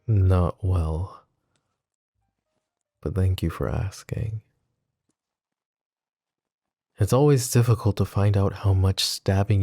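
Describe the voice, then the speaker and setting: A man voicing a possessive, protective mafia boss in an ASMR roleplay, talking in a calm, confiding way with casual swearing. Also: low-pitched, no echo, studio quality. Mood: brooding. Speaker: voice actor.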